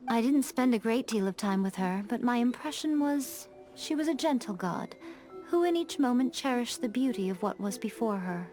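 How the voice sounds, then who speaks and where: A woman voicing a sly fox shrine maiden from a video game. Her voice is on the high side, elegant and composed, with formal, worldly-wise phrasing and a playful teasing edge. Game music plays behind her. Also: animated, normal speed, darkish tone, lightly acted. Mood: calm, reflective. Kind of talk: scripted video game dialogue.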